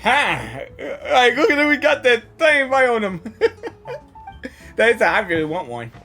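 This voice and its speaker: Bright, animated woman reacting to a game. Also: fairly high pitch, unhurried, soft background music. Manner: giggly, exclaiming. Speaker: gaming reaction video creator. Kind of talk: casual live reaction commentary.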